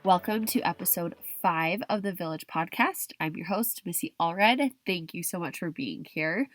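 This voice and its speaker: A young woman hosting her own podcast while stuffy and hoarse from a cold, talking in a chatty way with frequent restarts. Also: fairly low pitch, expressive, moderate pace, soft background music. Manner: chatty, restarts and hedges with kind of. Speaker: podcast host. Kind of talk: solo podcast talk.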